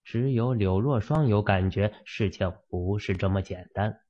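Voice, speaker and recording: A man's voice: on the low side, measured pace, dark tone, muffled recording. A man narrating fiction in a dramatic, scripted audiobook style.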